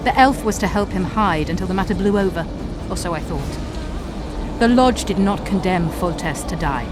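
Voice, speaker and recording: Video game role: scheming sorceress played by a woman, imperious and contemptuous, theatrical menace, music clearly underneath. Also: lowish voice, moderate pace, natural voice. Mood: bitter, insistent. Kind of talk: dramatic video game acting.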